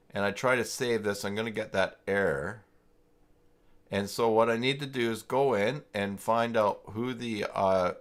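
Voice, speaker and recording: A man hosting a casual, unscripted livestream tutorial, talking haltingly as he thinks aloud through each step. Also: mid-range voice, fairly bright tone. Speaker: livestream host and web tutorial presenter.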